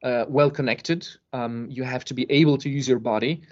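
A man explaining things casually as a podcast guest, with emphasis and pauses to find his words. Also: medium pitch, fairly even intonation. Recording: dull lo-fi podcast audio.

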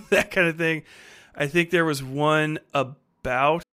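A man's voice: fairly high pitch, fairly even intonation, slow pace, sharp tone, clear, resonant tone. A man hosting a casual podcast book discussion, talking in a rambling, conversational way with frequent swearing. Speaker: podcast host.

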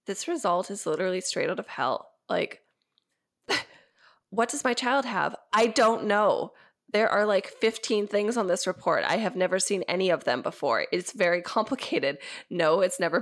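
A young woman co-hosting a podcast in casual chat, sounding congested and stuffy as she gets over an illness, rambling with lots of fillers and quick laughs. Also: mid-range voice, creaky. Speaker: podcast co-host.

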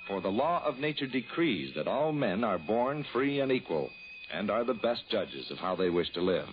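A man's voice: lowish voice, sing-song, moderate pace. A man delivering scripted 1960s public-service radio narration in a solemn, declamatory way. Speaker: announcer. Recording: muffled old radio recording, faint noise.

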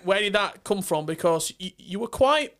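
High-voiced podcast co-host. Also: male voice, lively intonation, normal speed, fairly bright tone. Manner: upbeat, introduces guest with hype. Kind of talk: casual podcast chat.

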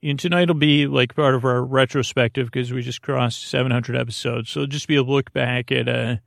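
Male sleep-podcast host: gravelly, lulling, halting delivery that wanders, recorded close and dry. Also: medium pitch, fairly even intonation, no echo. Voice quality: creaky. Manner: meandering, halting, repeats and trails off; soothing, lulling. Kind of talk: rambling bedtime sleep podcast intro.

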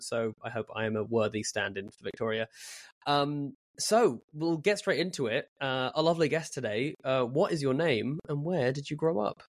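A man hosting a podcast interview, asking long, rambling questions full of fillers. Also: medium pitch. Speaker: podcast host. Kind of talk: casual interview.

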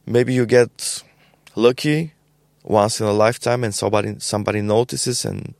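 A young adult man with a Bulgarian accent, a podcast guest talking casually in a halting way with many fillers and repeated words. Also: on the low side, steady tone, a little mellow, dry recording, studio quality. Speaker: podcast guest, label co-founder. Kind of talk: casual conversational interview.

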